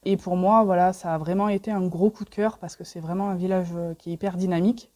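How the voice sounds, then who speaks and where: Warm-voiced woman in her thirties. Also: lowish voice, fairly even intonation, fast talker. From Paris region, France. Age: 30s. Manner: enthusiastic, chatty, frequent fillers. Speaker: interviewee, garage co-owner. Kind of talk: casual interview answers.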